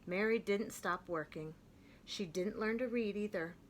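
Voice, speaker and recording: A woman reading a children's picture book aloud, with gentle, expressive and clear storytelling. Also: mid-range voice, a little slow, a little hiss or hum.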